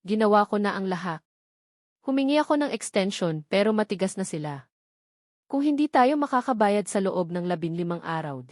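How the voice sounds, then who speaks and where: A woman voicing an elderly male lawyer, gentle, measured and formal, in an audio drama. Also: medium pitch, expressive, no echo, clean studio sound, natural voice. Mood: sorrowful. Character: gentle, sorrowful old male lawyer on the phone.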